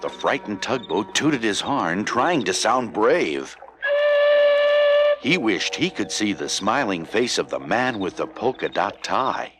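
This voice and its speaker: A man's voice: on the low side, big pitch swings, slow pace, low-quality recording. A man narrating a children's story from a script, with music playing behind him.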